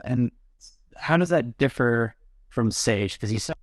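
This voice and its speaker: Relaxed male podcast interviewer. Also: medium pitch, a little slow, dry recording, clean studio sound. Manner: relaxed, brief affirmations, questions in loose phrasing. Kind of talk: casual interview questions.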